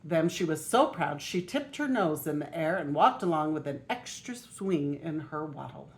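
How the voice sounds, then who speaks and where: An older woman, a grandmother, reading a children's picture book aloud in an expressive way. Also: sits low, moderate pace. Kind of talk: storybook read-aloud.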